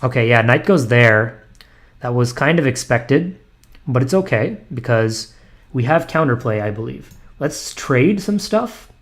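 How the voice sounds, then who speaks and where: A man's voice: medium pitch, lively intonation, measured pace. Man muttering his moves during blitz chess. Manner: self-deprecating, muttering analysis with frequent ums and restarts. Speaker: chess streamer. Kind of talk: thinking aloud while playing blitz chess online.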